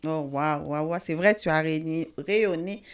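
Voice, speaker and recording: A woman's voice: deep voice, measured pace, clean tone. A woman hosting a casual interview, hesitant with many fillers. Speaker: talk show host.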